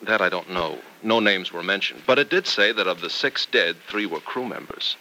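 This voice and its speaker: An actor playing a concerned, steady male friend bringing news in an old-time radio soap opera, on a noisy vintage recording. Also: low voice, normal speed, heavily acted. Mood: earnest, reassuring.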